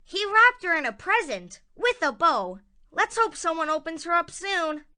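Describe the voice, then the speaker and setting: A woman's voice: very high voice, big pitch swings, moderate pace, pushed and bright. A woman narrating a scripted children's story in a dramatic storytelling manner.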